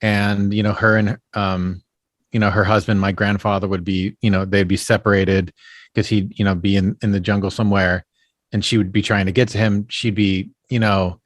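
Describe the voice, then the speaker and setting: A man with a lowish voice tells stories as a podcast guest. He rambles along, filling pauses with "you know" and "um". The sound is dry and close, with no room echo. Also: level tone. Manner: rambling storyteller, leans heavily on "you know" and "um". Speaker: actor and puppeteer guest. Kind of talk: casual podcast interview.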